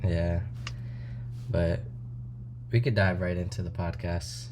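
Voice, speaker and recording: A man hosting a podcast, talking casually and haltingly with many fillers. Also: low voice, flat delivery, noisy background. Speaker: podcast host. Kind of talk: casual interview conversation.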